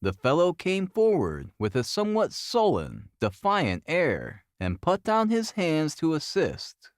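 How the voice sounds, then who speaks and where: A man reading a classic novel as scripted narration, in a formal, expressive storytelling manner. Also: on the high side, measured pace, no room sound, clean studio sound. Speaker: audiobook narrator.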